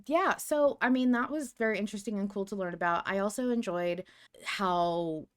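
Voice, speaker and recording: A woman's voice: mid-range voice, normal speed. A woman co-hosting a live fan podcast, chatting casually with lots of fillers and restarts, and sometimes reading notes aloud. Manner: chatty, self-deprecating, many fillers and restarts. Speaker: podcast co-host. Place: home setup on a live stream.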